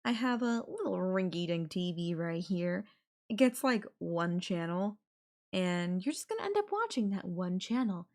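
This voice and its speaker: A woman voice actor playing an obsessive, possessive girlfriend in an intimate audio roleplay, with a coy, casual, drawn-out way of talking. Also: on the low side, very animated. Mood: casual, matter-of-fact. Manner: coy, drawn-out, casual filler-laden phrasing with giggles and sighs. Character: obsessive, possessive yandere girlfriend, playfully menacing kidnapper.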